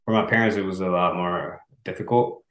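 Low-voiced young guy from el salvador, spanish first language, earnest, stumbles a bit, echoey room. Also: steady tone, fairly bright tone. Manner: earnest, halting with restarts and small laughs. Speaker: guest, author. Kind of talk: casual interview.

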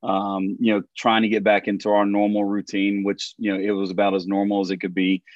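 A hoarse man in his forties, a basketball trainer and podcast guest, talking earnestly and often repeating words. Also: fairly low pitch, completely flat delivery, a little fast, no room sound, highs cut off.

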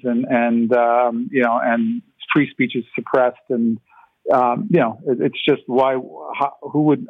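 A man's voice: medium pitch, dry recording. An older man whose first language is Ukrainian, talking as a guest in a radio interview, rambling with many restarts and fillers. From Canada. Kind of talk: radio interview over phone. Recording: dry tinny phone line.

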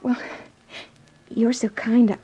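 Nervous clingy maid girl from old radio drama, high husky voice, pleading, hesitant. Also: flat delivery, slow, dark tone, muffled recording, full character voice. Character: anxious, clinging young housemaid seeking help. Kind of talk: 1950s radio drama acting.

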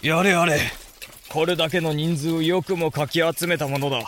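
An actor playing a young samurai lord in a Japanese period voice drama, wry and easygoing, with old-fashioned bantering speech. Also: male voice, a little high, steady tone, normal speed, a bit bright, a little hiss or hum, natural voice. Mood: wry, grumbling.

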